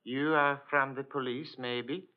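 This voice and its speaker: A polite Scandinavian purser acted by a man in a 1940s radio play. His English is halting and broken, his voice medium in pitch. The recording is old and dull. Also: steady tone, natural voice. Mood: polite, hesitant. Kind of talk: 1940s radio drama acting.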